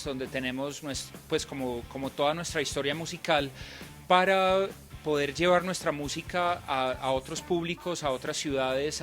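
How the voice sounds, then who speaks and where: A man's voice: a little high, fairly bright tone. A young man born in Scotland, a native English speaker, answering interview questions in a hesitant way, with many fillers and restarts. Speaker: band member.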